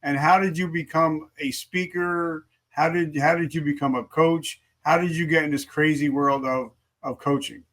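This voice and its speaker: A man's voice: fairly high pitch, steady tone, normal speed, hardly any pauses, a bit bright. Upbeat fifty-something male host. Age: 50s. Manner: enthusiastic, repeats words when starting. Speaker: podcast host. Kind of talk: interview host.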